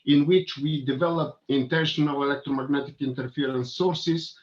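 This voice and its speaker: A man in his sixties from Bulgaria with a Bulgarian accent, speaking as a panelist on a webinar from a home office, in a measured way with many pauses. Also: mid-range voice, steady tone. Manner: measured, hesitant with fillers. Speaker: panelist, engineer. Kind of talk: webinar presentation. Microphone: video call webcam. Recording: dull lo-fi video call audio.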